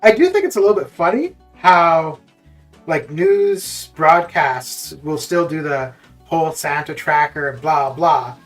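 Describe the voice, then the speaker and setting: A live streamer of middling pitch, chatting casually and often amused at their own remarks. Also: lively intonation, unhurried. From Canada, born in the Caribbean. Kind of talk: casual chat while streaming. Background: music with guitar.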